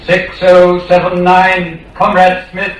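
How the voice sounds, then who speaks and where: A man's voice: high-pitched, completely flat delivery, slow pace, far from the mic. A man playing a cold totalitarian overseer on a telescreen in a radio drama, commanding and clipped, heavily echoing. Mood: stern interrogation.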